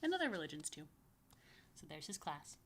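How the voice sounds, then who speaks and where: Woman storyteller for young families, bright tone, conversational and explanatory, stressing words for children. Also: low-pitched, big pitch swings, a little fast, slight background noise. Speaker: rabbi reading a story to children. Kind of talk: read-aloud storytime with casual asides to young children.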